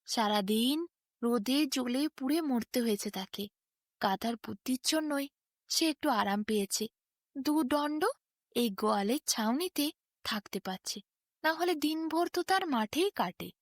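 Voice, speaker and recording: A woman narrates a scripted children's bedtime story as the storyteller. Her voice sits a little high, and the recording is very dry, with no room around it. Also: expressive, measured pace, dry recording.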